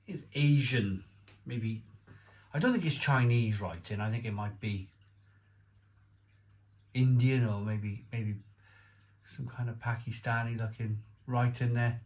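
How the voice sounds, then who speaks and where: A man's voice: mid-range voice, unhurried, pauses often, a little mellow. Rambling man reviewing beer. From Wales. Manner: chatty, rambling with many restarts and asides. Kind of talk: casual beer review to camera.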